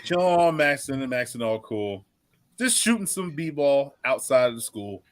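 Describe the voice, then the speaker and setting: A man's voice: mid-range voice, expressive, normal speed, dry recording, clean studio sound. An American man hosting a football fan show with casual, slangy banter, repeating phrases for emphasis. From Philadelphia and South Jersey, US.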